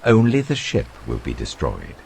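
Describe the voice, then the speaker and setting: A narrator, a man, plays an earnest male apostle rallying people in a dramatised scripture reading. The voice is low and gravelly, rising and falling boldly with commanding, reassuring emphasis. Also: wildly swooping pitch, normal speed, a little mellow, slight background noise, full character voice. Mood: urging. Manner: urgent, emphatic, reassuring oratory.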